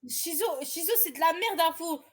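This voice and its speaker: Young woman, extremely high pitch, casual slangy banter, blunt swearing, loud chatter behind her. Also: animated, normal speed. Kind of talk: casual banter over a cartoon tier list.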